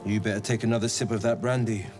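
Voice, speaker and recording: Actor playing a theatrical, vengeful monster hunter over music. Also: male voice, lowish voice, fairly even intonation, quick pace, natural voice. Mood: bitter, brooding. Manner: theatrical, declamatory. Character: vengeful heroic young male monster hunter, brooding, grandiose. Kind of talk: dramatic video game dialogue acting. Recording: loud music bed behind the voice.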